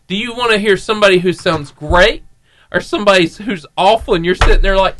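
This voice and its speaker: Bright high-voiced man. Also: fast, slight background noise. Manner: playful, teasing, loud bursts. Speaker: radio show co-host. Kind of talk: casual chat.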